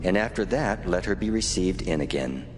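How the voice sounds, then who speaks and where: A man voicing the Lord in a dramatized scripture reading, slow, solemn and commanding. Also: sits low, animated. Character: the Lord, deep commanding divine voice. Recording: long reverb, music behind.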